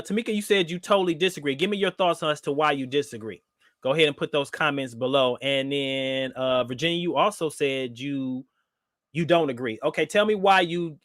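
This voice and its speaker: A man's voice: a little high, no room sound, studio quality. A man hosting a live online discussion show, talking casually with many fillers, a little nasal from a cold. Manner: relaxed, with frequent fillers and restarts. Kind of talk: casual live-stream talk.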